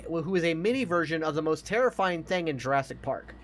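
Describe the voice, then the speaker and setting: A man's voice: on the high side, lively intonation, fast talker, slight background noise. An American man in his thirties, a podcast co-host chatting casually and emphatically about films, with plenty of swearing.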